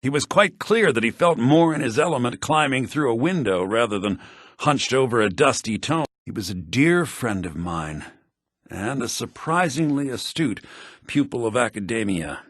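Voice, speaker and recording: An expressive, scholarly male mage. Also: medium pitch, husky, dry recording, clean studio sound. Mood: wistful. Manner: articulate, formal, measured. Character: scholarly male mage, refined, earnest, quietly bitter. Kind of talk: video game character dialogue.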